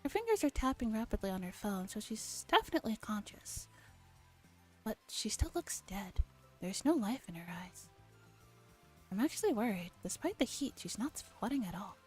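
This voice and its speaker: Female ASMR streamer, gentle narrated read-aloud that slips into whispers, light music underneath. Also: mid-range voice, sing-song, normal speed. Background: background music.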